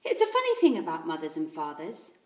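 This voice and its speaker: Female reader, schoolteacher type: expressive storybook narration, animated intonation, roomy sound. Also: low voice, quick pace. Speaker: teacher reading aloud. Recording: roomy echo.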